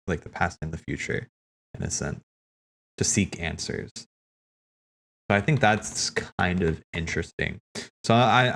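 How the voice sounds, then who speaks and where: A man giving casual, off-the-cuff game commentary as a streamer, musing and thinking aloud in a rambling way. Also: deep voice. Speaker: streamer hosting a cutscene watch party.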